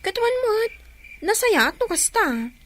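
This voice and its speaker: Woman doing a nosy scolding filipino village lady, high and all over the place, radio comedy. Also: big pitch swings, slow pace, a bit bright, a little hiss or hum, full character voice. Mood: prying. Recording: music under the voice.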